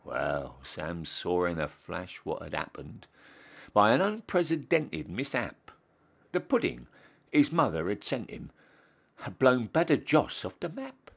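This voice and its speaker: A deep-voiced man with a Northern English accent recites a comic monologue. His delivery is slow and dramatic, with huge swings of pitch and a husky edge. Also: huge pitch swings, fairly bright tone. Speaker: reciter, town crier.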